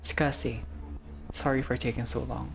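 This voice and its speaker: Man playing a middle-aged woman shopkeeper, polite, measured, guarded, game character. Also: mid-range voice, fairly even intonation, natural voice. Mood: guarded politeness. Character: small middle-aged female wine shop keeper, guarded and polite.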